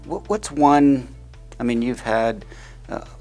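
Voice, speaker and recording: A man's voice: medium pitch, animated, slow pace, darkish tone, soft background music. A man interviewing a former student, asking long questions with many hesitant fillers.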